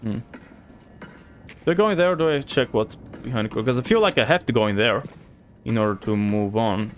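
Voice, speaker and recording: A man's voice: medium pitch, a little fast, slight background noise. A man from Bulgaria with a light Bulgarian accent, a streamer chatting loosely with viewers and thinking aloud as he plays. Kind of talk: casual gameplay chat with viewers.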